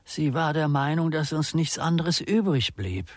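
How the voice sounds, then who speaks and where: A man's voice: a little high, lively intonation, unhurried, no echo. An actor playing an anxious, earnest male undertaker in a German radio play, formal and polite, stammering when flustered. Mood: quiet, solemn. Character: anxious, earnest small-town male undertaker, secretive and proper.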